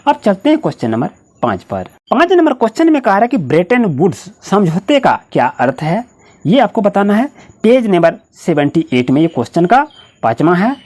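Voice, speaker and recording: A woman's voice: lowish voice, very animated, moderate pace, a little mellow. A woman with an Indian accent teaching school students, dictating exam answers point by point in an instructional way.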